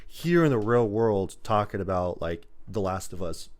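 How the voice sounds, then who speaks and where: Low male voice, podcast co-host, casual chat, rambling, emphatic delivery, mild swearing. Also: animated, normal speed. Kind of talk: casual chat about video games and movies.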